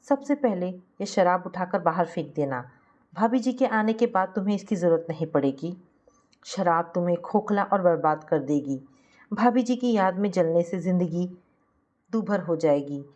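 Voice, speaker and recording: A woman's voice: lowish voice, animated, moderate pace, a little mellow, highs cut off. A woman voicing a kind, principled man who talks in an earnest, moralizing way in a family story. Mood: earnest urging. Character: kind, principled man advising his friend.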